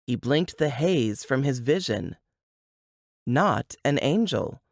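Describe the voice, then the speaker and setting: Woman reading a novel excerpt, slow pace, very lively pitch, dry sound. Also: no room sound. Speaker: audiobook narrator. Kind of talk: scripted fiction narration.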